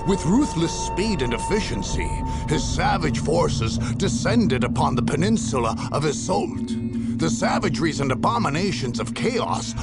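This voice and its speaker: A man playing a grave storyteller, reading dramatic scripted narration for a dark war game over music. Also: a little high, vocal fry, exaggerated voice. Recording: music behind.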